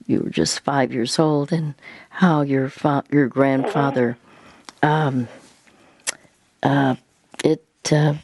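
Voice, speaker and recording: An older woman hosting a radio counseling show, speaking gently and haltingly with many pauses and fillers. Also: low-pitched, no room sound.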